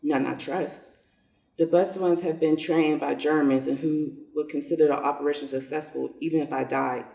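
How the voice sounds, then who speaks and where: Man as calculating old general, gravelly, higher-pitched, pompous, far from the mic in an echoey room. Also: fairly even intonation, a little mellow. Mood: coolly scheming. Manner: pompous, deliberate. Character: self-interested, sick old Japanese general, lordly and calculating. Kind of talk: reader's theater stage reading.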